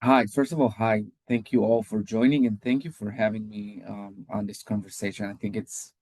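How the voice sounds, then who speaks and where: A man's voice: fairly low pitch, level tone, normal speed, a little mellow, no echo. A man in his thirties from Israel with an Israeli accent, speaking hesitantly as a webinar guest over a video call. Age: 30s. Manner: hesitant, many ums, laughs lightly. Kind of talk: casual spoken answer.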